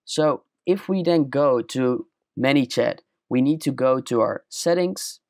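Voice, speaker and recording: A young man with a Dutch accent walking through a screen-recorded software tutorial step by step, in a plain explaining manner. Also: medium pitch, expressive, a little slow, no room sound, clean studio sound. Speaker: tutorial presenter.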